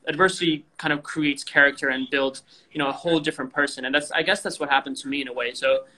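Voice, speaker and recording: Bright-voiced young man, frank and rambling. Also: a little high, steady tone, fast talker, rough recording. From Indonesia. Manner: frank, rambling, many fillers and restarts. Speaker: guest athlete. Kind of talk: casual interview over video call.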